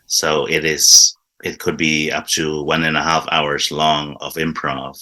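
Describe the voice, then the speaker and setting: This is a man with a very low, deep voice that still sounds bright and forceful. He explains eagerly in a casual interview, often restarting his words as he goes. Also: flat delivery, unhurried. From Indonesia. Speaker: improv teacher, guest.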